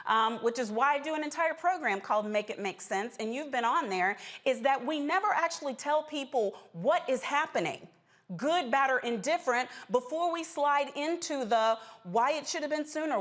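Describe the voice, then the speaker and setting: A woman's voice: high-pitched, fairly bright tone. An American woman hosting a political panel discussion, speaking in an insistent, pointed way. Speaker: news commentary show host.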